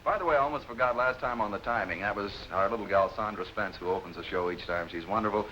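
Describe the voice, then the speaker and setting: A man's voice: fairly low pitch, fast, bright, forceful tone. A man hosting a game show with casual, teasing banter and joking asides. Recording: noisy muffled 1950s television soundtrack.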